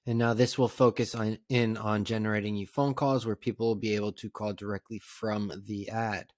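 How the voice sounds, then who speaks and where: A man narrating a casual step-by-step software tutorial in a plain, conversational, instructional way. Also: lowish voice, little pitch movement, moderate pace, no echo, clean studio sound. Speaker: tutorial presenter.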